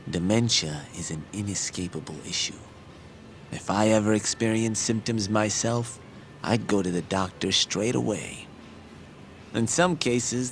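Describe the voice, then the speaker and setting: An actor playing a patient, earnest medical expert who explains things in a measured, articulate, didactic way in a video game. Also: male voice, lowish voice, slight background noise, close to an everyday voice. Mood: earnest, persuasive. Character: learned male medical expert, patient, earnest, wryly teasing lecturer. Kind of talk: English video game dub, explanatory dialogue. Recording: background music under the voice.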